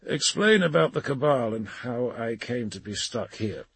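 Man voicing a husky, weary old wanderer. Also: medium pitch, animated, moderate pace, a bit bright, no echo, exaggerated voice. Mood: terse, guarded. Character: weary, stubborn old male wanderer with a soft heart. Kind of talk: audiobook character dialogue.

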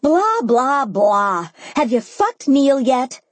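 A woman voicing a drunk, furious, meddling young woman in an audiobook, with loud, indignant, sputtering outbursts. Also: high-pitched, very animated, slow pace, husky, no echo, voice pushed up, exaggerated voice. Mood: challenging. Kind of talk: dramatised audiobook dialogue.

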